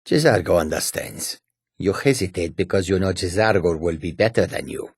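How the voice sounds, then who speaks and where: Deep, husky, self-assured catfolk adventurer. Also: male voice, expressive, moderate pace, no room sound, clean studio sound, full character voice. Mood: proud, confident. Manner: self-assured, formal, speaks of himself in third person. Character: proud, courteous male catfolk mage and warrior. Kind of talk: video game character dialogue.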